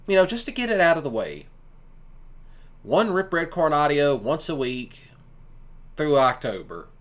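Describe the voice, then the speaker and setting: A man's voice: a little high, big pitch swings, a little slow, fairly bright tone. Folksy man. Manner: folksy and colloquial. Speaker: streamer and ASMR audio creator. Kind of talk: casual rambling commentary.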